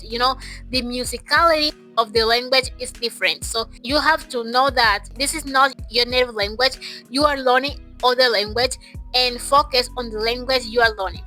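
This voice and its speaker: Bright, high-voiced woman vlogger. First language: Portuguese. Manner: emphatic, repeats phrases for stress. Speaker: English-learning YouTuber. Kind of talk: casual motivational talk to camera.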